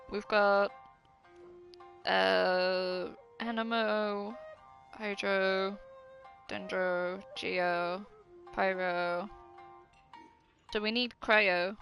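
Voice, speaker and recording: A woman livestreamer with a fairly high voice chats casually as she plays. She rambles and hesitates in a giggly manner, with game music softly behind her. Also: creaky. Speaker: game streamer. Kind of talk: casual livestream chat over gameplay.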